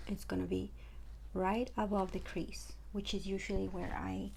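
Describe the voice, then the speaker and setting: A woman's voice: mid-range voice, level tone, normal speed, gravelly, warm tone, slight background noise. A young woman from Ecuador with a Spanish accent, chatting casually through a makeup tutorial at home with lots of fillers. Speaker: makeup artist.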